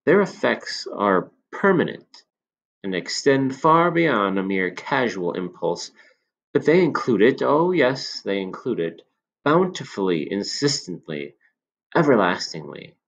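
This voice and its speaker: Guy putting on a sly old potion dealer, higher and louder than his own voice, swoops around a lot, dull old recording. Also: sing-song, exaggerated voice. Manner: smooth, insinuating, drawn-out persuasion. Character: sly elderly male potion seller.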